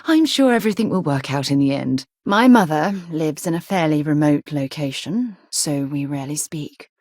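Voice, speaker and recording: Video game space explorer lady, ex military, lowish voice, dry wit, composed n articulate, real dry close sound. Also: lively intonation, moderate pace, darkish tone, dry recording. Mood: reflective. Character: self-assured female space explorer, ex-military, earnest and wry. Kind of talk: video game character dialogue.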